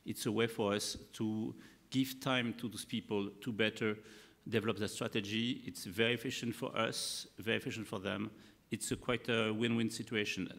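A man in his fifties with a French accent, a native French speaker presenting on a conference panel in halting English, searching for words. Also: medium pitch, gravelly, a bit bright. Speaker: media executive, panel speaker. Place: conference hall, indoors. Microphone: table gooseneck microphone. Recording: echoey hall, panel microphone.

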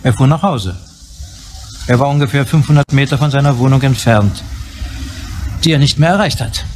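A man's voice: mid-range voice, moderate pace, lightly acted. An actor playing a male police investigator who questions a colleague in a pointed, sarcastic way. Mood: accusing. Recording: hissy old TV soundtrack.

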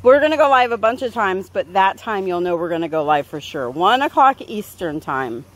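A woman hosting a pet vlog, chatting casually and playfully to animals and viewers, with sing-song exclamations and drawn-out words. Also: medium pitch, expressive. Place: outdoors in a backyard by a pool.

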